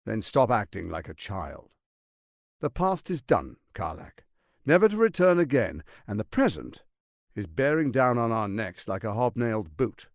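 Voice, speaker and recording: An actor playing a suave, scheming tyrant lord in a fantasy video game, speaking in a pompous, silky, mock-courteous and theatrical manner. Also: male voice, fairly low pitch, very animated, moderate pace, no echo, clean studio sound. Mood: contemptuous.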